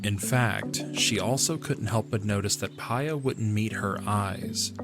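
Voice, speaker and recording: Low-voiced male story narrator. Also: takes it slowly. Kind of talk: scripted audiobook-style story narration. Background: background music.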